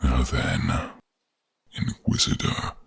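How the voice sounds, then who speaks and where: A man voicing a cold, cruel, imperious king in a fantasy audio role-play, with a deep, breathy, gravelly voice and a commanding, threatening delivery. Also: takes it slowly, fairly bright tone. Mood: commanding threat.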